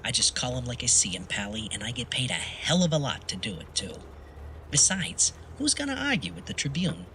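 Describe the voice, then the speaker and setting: Man playing a bright, cynical 1940s wisecracking critic. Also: mid-range voice, sing-song, a little fast, a little hiss or hum. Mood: brash, dismissive. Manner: sneering, slangy, needling. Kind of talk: film noir audio drama acting.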